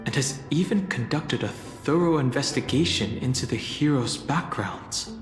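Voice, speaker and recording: An actor playing a calm, analytical young traveler in a fantasy game, speaking in a measured, formal and reserved way. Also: male voice, mid-range voice, close to an everyday voice. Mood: pensive. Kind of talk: scripted fantasy game voice acting. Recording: echoey long reverb, game music behind. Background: game music.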